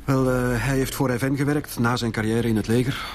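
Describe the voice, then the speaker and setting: An actor playing a brisk, businesslike Flemish police director in a radio drama. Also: male voice, mid-range voice, fairly even intonation, background noise, natural voice. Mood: informative, insistent. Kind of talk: Dutch-language radio drama acting.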